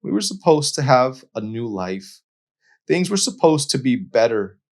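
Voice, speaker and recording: Guy reading as an angry hurt young woman, indignant, raised pitch, audiobook drama. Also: sing-song, measured pace. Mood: distraught, wounded. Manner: indignant, confrontational.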